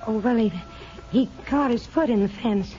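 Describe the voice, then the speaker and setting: A woman's voice: on the high side, moderate pace, natural voice. A woman playing a modest, capable farm woman in a 1950s radio drama, hesitant and soothing, with an American accent. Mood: nervous, soothing. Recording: muffled, noisy old radio recording.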